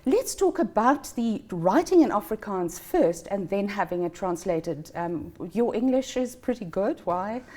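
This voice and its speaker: Female interview host, mid pitch, mellow tone, friendly and encouraging. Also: animated, a little slow, few pauses. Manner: friendly, with brief encouraging backchannels. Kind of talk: interview conversation.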